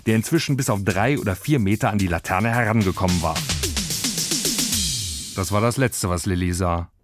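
A man's voice: fairly low pitch, normal speed, loud music behind the voice. A man reading scripted narration for a children's story. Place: dry studio.

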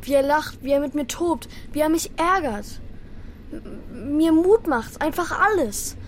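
A woman's voice: sits high, animated, a little slow, slight background noise. A woman playing a young boy who misses his brother, earnest and heartfelt, narrating and acting in a children's radio play. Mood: troubled, earnest.

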